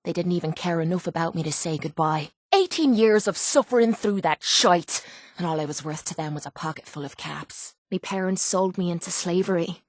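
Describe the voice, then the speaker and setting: A woman's voice: fairly low pitch, brisk, no echo, close to an everyday voice. Woman acting tough young Irish woman fighter, brash, bitter, foul-mouthed, slangy, video game character, close dry studio sound. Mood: bitter, wounded. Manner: brash, blunt, swearing, slangy.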